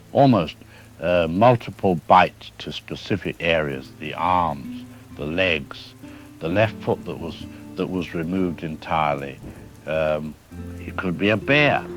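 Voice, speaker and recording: A man playing a careful, deliberate wildlife expert doctor interviewed on the news in an audio drama. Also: a bass voice. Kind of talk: broadcast interview acting.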